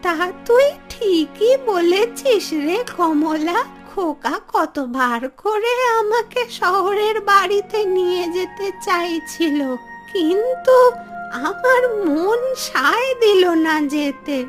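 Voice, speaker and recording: Lady doing old village granny for a cartoon, stubborn but kind, really high voice, slow, music behind. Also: expressive, heavily acted. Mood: wistful. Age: older. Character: elderly village matriarch, stubborn, nostalgic, kind-hearted grandmother. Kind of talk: cartoon story dialogue.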